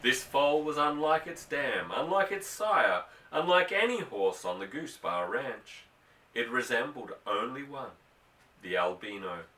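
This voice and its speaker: A man's voice: mid-range voice, lively intonation, unhurried, pushed and bright. A man reading a novel aloud as scripted narration, with dramatic, emphatic phrasing, recorded in a roomy space away from the microphone. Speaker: audiobook reader. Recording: roomy echo, distant microphone.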